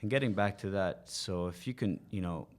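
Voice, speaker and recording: Deep-voiced young man coaching languages. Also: moderate pace, darkish tone. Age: 20s. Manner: explains with many "you know" fillers. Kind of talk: casual podcast interview.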